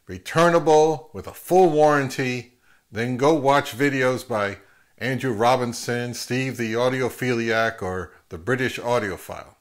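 A middle-aged man hosting an advice vlog, speaking to camera in an emphatic way with deliberate pauses. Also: mid-range voice. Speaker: host of an audio gear channel. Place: home listening room, later an open grassy field.

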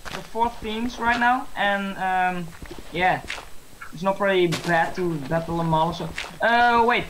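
A man chatting casually while gaming with a friend, halting with many fillers in broken English. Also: sits high, a crowd in the background, rough recording. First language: Dutch. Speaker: co-host gamer. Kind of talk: casual gameplay chat. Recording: faint background noise, mouse clicks.

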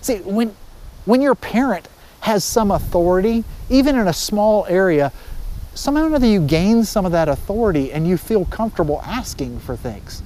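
A man's voice: high voice, expressive, moderate pace, dark tone, low-quality recording. A middle-aged man, a pastor, giving an informal, conversational sermon outdoors on a lapel mic. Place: outdoors, under trees by water. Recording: faint outdoor background noise.

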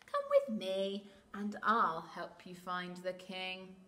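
A sly, predatory storybook fox, voiced by a woman, pretending to be kind. The words come slowly and smugly, dripping with mock sympathy. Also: on the low side, fairly even intonation, sharp tone, roomy sound. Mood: smug, coaxing. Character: sly, smirking, predatory fox feigning kindness.

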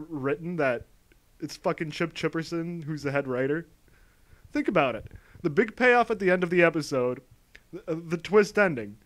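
A man giving mocking reaction commentary as a video commentator, exasperated, sweary and stammering. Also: on the high side.

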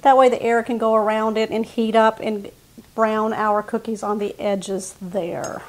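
A woman's voice: fairly high pitch, flat delivery, a little slow, rough recording. Woman walking viewers through baking. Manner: friendly step-by-step instructions to viewers. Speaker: cooking channel host. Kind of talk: casual cooking demonstration. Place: home kitchen, indoors.

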